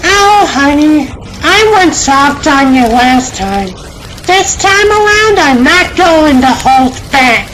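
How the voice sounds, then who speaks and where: A woman's voice: high voice, lively intonation, slow, fairly bright tone, full character voice, poor audio. A woman voicing a feisty, wisecracking young antiheroine in a comic dub, sassy and exasperated. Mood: threatening. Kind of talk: fan comic dub acting. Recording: clear noise behind voice.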